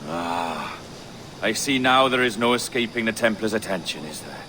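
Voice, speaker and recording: An actor playing a shrewd, self-assured pirate in a video game, wry and deliberate. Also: male voice, on the low side, expressive, bright, forceful tone, slight background noise. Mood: calculating. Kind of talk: dramatic video game acting.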